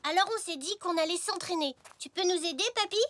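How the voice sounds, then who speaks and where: A performer voicing a cheerful, eager little zebra boy in an expressive children's cartoon style. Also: extremely high pitch, fast talker, a little hiss or hum.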